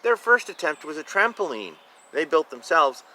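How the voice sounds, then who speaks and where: A man's voice: on the high side, sing-song, moderate pace, pushed and bright. A man reading a picture book aloud expressively, with informal asides about the illustrations. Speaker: reader of a children's picture book.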